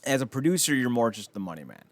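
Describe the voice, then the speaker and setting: A man's voice: medium pitch, big pitch swings, fast talker, dry recording. A man hosting a podcast in casual chat about films, rambling along with blunt, wry opinions. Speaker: podcast host.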